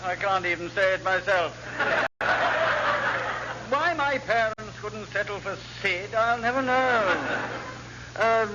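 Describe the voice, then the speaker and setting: An actor playing a grumpy, pompous British government VIP in a classic radio sitcom, sardonic and put-upon. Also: male voice, high-pitched, slow pace, pauses often. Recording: dull, noisy old radio recording.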